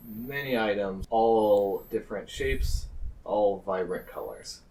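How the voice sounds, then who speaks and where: A man's voice: lowish voice, slow, dark tone. A man narrating a tabletop game as game master. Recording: slightly distant mic, faint noise.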